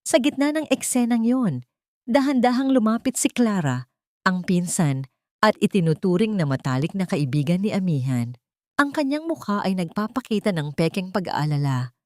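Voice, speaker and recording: A woman with a Filipino accent narrating a scripted dramatic story in a solemn, measured and emotive way. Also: lowish voice, very animated, a little mellow, dry recording, studio quality.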